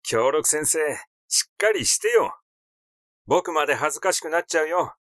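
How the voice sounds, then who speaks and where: A man's voice: fairly high pitch, animated, moderate pace, a bit bright, no echo, clean studio sound. A man voicing a cheeky, meddling young man in a story reading, needling and argumentative. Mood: exasperated.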